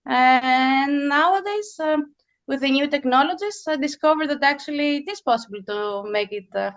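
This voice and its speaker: A woman in her forties from Greece, a native Greek speaker, chatting as a guest author in an interview and pausing often to think. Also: high-pitched, lo-fi sound. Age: 40s. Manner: thoughtful, frequent hesitations. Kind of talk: casual interview chat.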